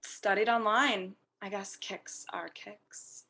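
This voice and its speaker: A woman's voice: mid-range voice, steady tone, slow, vocal fry, bright, forceful tone, heavily acted. A woman playing a friendly, admiring young dancer in a screenplay table read. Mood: friendly, casual.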